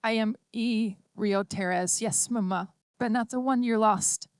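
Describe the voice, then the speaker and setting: A woman voicing a six-year-old android girl who speaks gently and earnestly, as an audiobook reader puts her on. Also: a little high, a little slow, smooth, dry recording, pitched above the performer's own voice, lightly acted. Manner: gentle, pleading.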